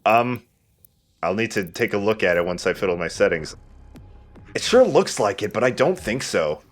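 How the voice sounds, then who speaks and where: A man's voice: lowish voice, animated, moderate pace, soft background music. A man giving casual let's play commentary, explaining things and correcting himself as he goes.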